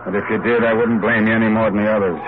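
An actor playing a cold, hungry, disheartened sentry, folksy and plain-spoken, in old-time radio drama. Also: male voice, lowish voice, fairly even intonation, racing, background noise, close to an everyday voice. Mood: weary, grumbling. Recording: dull vintage radio sound, loud music.

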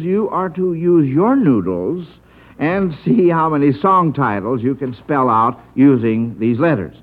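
A high-pitched American man works as a quizmaster on old-time radio. He talks with jovial, folksy showmanship, and the recording is dull and narrow like a vintage broadcast. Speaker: radio quiz show host.

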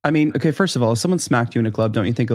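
A man's voice: mid-range voice, animated, very fast, a little mellow. A man co-hosting a gossip show in casual chat, with a conversational, skeptical way of talking. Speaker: co-host of a celebrity gossip show.

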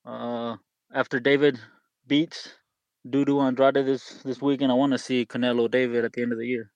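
A man's voice: mid-range voice, level tone, normal speed, a little mellow, clean tone, no room sound. Heated, profane caller man. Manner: heated, profane, switches into Spanish. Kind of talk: casual call-in chat.

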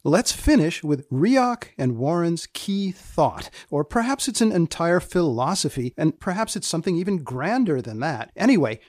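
A man's voice: fairly high pitch, dry recording, studio quality. Man podcast host with theatrical, swooping delivery. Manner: mock-solemn, deadpan humour, theatrical pauses. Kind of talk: scripted narration and interviewing.

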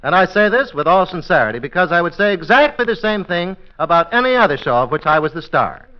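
A pompous, bumbling judge speaks in a high male voice with lively swoops and formal, halting phrases. The sound is that of a muffled vintage radio comedy. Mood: self-important. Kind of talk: 1940s radio comedy acting. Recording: muffled old radio recording.

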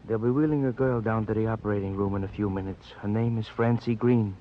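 Man playing a solemn low-voiced city doctor. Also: fairly even intonation, lightly acted. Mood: grave, concerned. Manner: measured, grave, quaintly inverted phrasing. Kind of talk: old-time radio drama acting. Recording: muffled old radio, faint noise.

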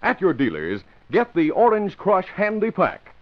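A man's voice: high-pitched, huge pitch swings, measured pace. A man announcing and narrating in an emphatic, theatrical vintage radio style. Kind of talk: 1950s radio announcing, dramatic scripted narration. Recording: noisy, dull vintage radio recording.

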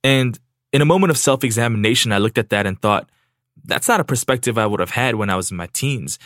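A young man from South Korea, a native Korean speaker, reading a steady, reflective personal essay as a podcast producer. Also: mid-range voice, fast talker, dry recording, clean studio sound.

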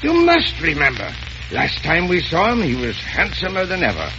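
Bumbling older count, wheedling, lively voice, old noisy muffled radio show. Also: male voice, a little high, moderate pace. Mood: coaxing. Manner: bumbling, coaxing, hesitant. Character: blustering, wheedling older uncle, a scheming count. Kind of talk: old-time radio comedy acting.

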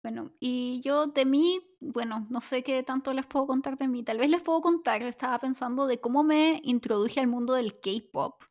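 A woman's voice: sits high, moderate pace, few pauses, darkish tone. A woman in her thirties co-hosting a chat podcast, chatty and upbeat, telling long flowing anecdotes. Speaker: podcast co-host. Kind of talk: casual chat.

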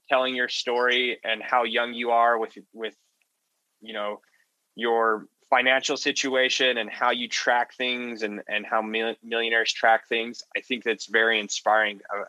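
Bright-voiced male podcast host, dry close sound. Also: fairly low pitch, no room sound, poor audio. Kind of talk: casual conversational podcast wrap-up.

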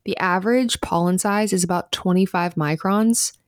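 Chatty woman host, slightly low voice. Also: normal speed, dry recording, clean studio sound. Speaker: podcast co-host reading sponsor spot. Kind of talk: chatty scripted ad read.

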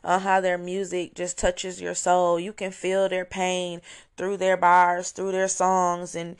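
A young woman with a hoarse edge, chatting eagerly about music on her solo podcast. Her voice stays smooth underneath the hoarseness and sits low, with a flat, even melody. She rambles a little, with restarts and fillers. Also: takes it slowly. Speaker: podcast host. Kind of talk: casual solo review podcast. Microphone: home recording, voice message style.

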